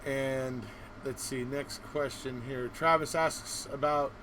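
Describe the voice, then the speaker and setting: A man's voice: mid-range voice, fairly even intonation, takes it slowly, a bit bright, slight background noise. A man hosting a casual live interview, reacting with folksy exclamations and reading out questions. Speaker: host.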